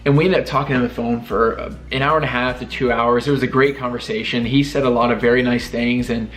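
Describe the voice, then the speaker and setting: A young man telling a personal story in a casual chat with his wife, animated and self-deprecating, often laughing at himself. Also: medium pitch, fast talker, faint music behind. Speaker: vlogger telling proposal story.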